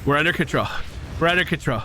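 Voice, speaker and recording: A man's voice: fairly high pitch, expressive, quick pace, noisy background. A man streaming a video game with casual, chatty commentary, explaining things with playful slang.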